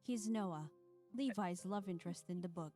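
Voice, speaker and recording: A woman streamer reading a visual novel aloud, expressive, casual and blunt between passages. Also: on the low side, normal speed, faint music behind.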